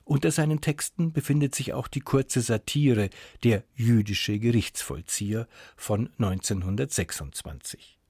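Husky male radio announcer, dry studio sound. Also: medium pitch, darkish tone, no echo. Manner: measured, formal, informative. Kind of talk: scripted narration, radio introduction.

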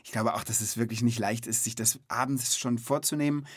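A man's voice: mid-range voice, fairly even intonation, a little fast, slightly rough, dry recording, studio quality. A man hosting a children's podcast, asking questions in an informal, chatty, conversational way. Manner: informal, chatty, reacts with short interjections.

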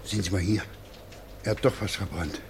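A man's voice: low-pitched, steady tone, brisk, slight background noise, close to an everyday voice. A man playing a grumbling, petty householder in a radio drama, querulous yet deferential to officials. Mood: irritated. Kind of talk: German radio play acting.

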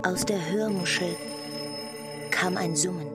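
A woman's voice: on the low side, fairly even intonation, measured pace. A woman reading scripted literary narration in an audio drama. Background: loud music.

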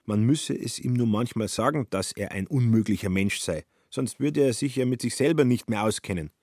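A man narrating a literary radio play with a husky voice and a wry, dry storytelling manner. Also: medium pitch, normal speed, a little mellow, dry recording, natural voice.

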